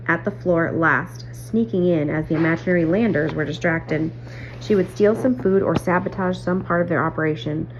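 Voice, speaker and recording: Woman, story narration, careful delivery, lower pitch, dull audio with faint hum. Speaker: reader of a children's novel aloud. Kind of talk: read-aloud story narration. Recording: muffled audio, clear background hum.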